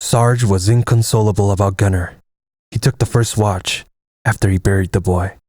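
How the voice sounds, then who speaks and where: An actor playing a weathered American soldier who tells a wartime adventure in measured, reflective dramatic narration. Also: male voice, fairly low pitch, steady tone, background noise, close to an everyday voice.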